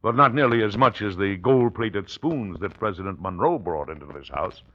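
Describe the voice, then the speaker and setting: A man's voice: fairly low pitch, animated, normal speed, sharp tone. An actor playing an exasperated but dignified older father and president in an old-time radio drama, speaking formally and with dry patience. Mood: wry, calm. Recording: muffled vintage radio recording.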